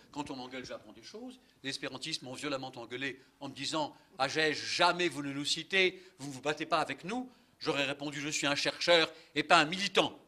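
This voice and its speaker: Animated older man lecturing with irony. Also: fairly high pitch. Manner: animated, ironic and polemical, many asides and fillers. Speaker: conference speaker, linguist. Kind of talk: lively lecture to an audience. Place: conference hall.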